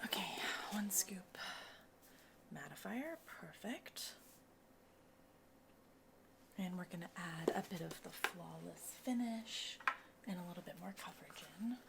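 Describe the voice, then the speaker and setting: A soft-spoken woman doing an ASMR cosmetics consultation roleplay, breathy and often slipping into a whisper, explaining each step in a casual, reassuring way. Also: mid-range voice, unhurried, long pauses, heavy background noise.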